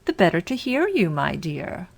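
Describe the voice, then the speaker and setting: A woman voicing a big, wicked storybook wolf with a slow, falsely sweet, coaxing manner. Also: on the low side, very animated, gravelly, heavily acted. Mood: falsely sweet, menacing.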